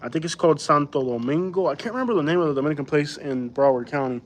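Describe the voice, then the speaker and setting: Livestream host from Florida, male, slightly high voice, slangy and rambling, works through chat comments. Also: fast. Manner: rambling, reads viewer comments aloud and answers them; loose, slangy and easygoing. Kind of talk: casual late-night live chat.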